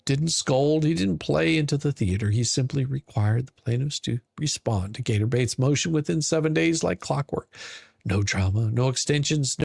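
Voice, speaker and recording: A man narrating a scripted story in a dramatic, mocking manner, with deliberate theatrical pauses. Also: medium pitch, gravelly, husky, no room sound, studio quality. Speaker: podcast narrator.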